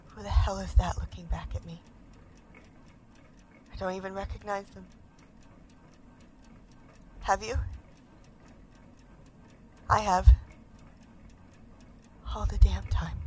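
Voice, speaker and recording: Actress as a slow, intense, haunted woman. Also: mid-range voice, slight background noise, natural voice. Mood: uneasy, pained. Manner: intense, halting, emotional. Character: haunted, restless woman desperate to recover her lost identity. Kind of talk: audio drama monologue.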